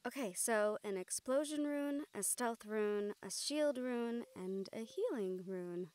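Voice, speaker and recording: A woman playing an excitable, caring young mage in an intimate audio roleplay, with animated, halting delivery. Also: fairly high pitch, clear, resonant tone. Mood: tired, thoughtful. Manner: animated, halting, swearing freely.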